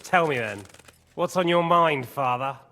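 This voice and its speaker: An actor playing a sadistic, mocking commander who toys with prisoners in a leisurely, falsely courteous way, in a video game cutscene. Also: male voice, on the high side, unhurried, bright, forceful tone, a little hiss or hum. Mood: mocking, probing. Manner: taunting, leisurely, theatrically courteous. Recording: music behind the voice.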